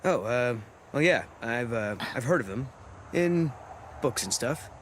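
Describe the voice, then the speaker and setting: An actor playing a young adventurer in a video game, casual and a little guarded. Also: male voice, medium pitch, expressive, a little slow, slight background noise, lightly acted. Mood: awkward, evasive. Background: game music.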